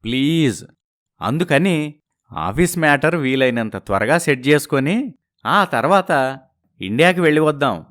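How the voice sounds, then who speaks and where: Guy acting a slick young husband, placating, fairly high voice that moves around a lot, bits of english. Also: expressive, unhurried, long pauses, fairly bright tone. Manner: placating, persuasive, drops in English words. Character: smooth-talking, evasive young husband.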